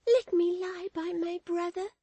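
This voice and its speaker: Very high, pleading little girl. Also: fairly even intonation, measured pace, warm tone, no echo. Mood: earnest pleading. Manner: earnest, tearful begging. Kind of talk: dramatized Victorian novel reading.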